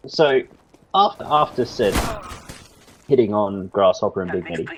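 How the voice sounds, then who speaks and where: A man telling a loose, halting, sweary anecdote to friends in a casual voice chat. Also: lowish voice, animated. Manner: loose, halting, repeats himself. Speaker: storyteller in a group voice chat. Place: online voice chat. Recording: noisy voice-chat mic with clicks.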